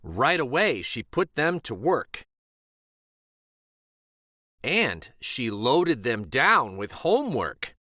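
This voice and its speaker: A man reading a children's story aloud as an expressive narrator. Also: medium pitch, slow, long pauses, no echo, clean studio sound.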